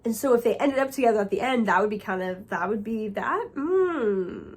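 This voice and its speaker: A woman's voice: fairly high pitch, normal speed, slightly rough. Chatty young woman, a bit nasal. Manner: excited, chatty, talks to viewers as friends. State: nasal from a cold. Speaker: book vlogger. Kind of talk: casual chat to camera.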